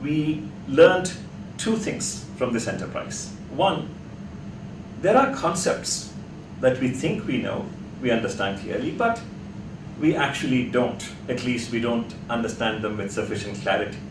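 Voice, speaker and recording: A man teaching a class in a deliberate, emphatic way, putting probing questions to his students. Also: mid-range voice, expressive. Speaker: teacher leading a workshop class. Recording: echoey, noisy room, distant mic.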